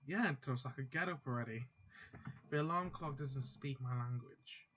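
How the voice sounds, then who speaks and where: A young man reading visual novel text aloud for a playthrough video, halting now and then to check how to say a word. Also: mid-range voice, a bit bright, slight background noise, low-quality recording. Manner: halting read-aloud, stops to check pronunciation. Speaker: gaming YouTuber. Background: faint background music.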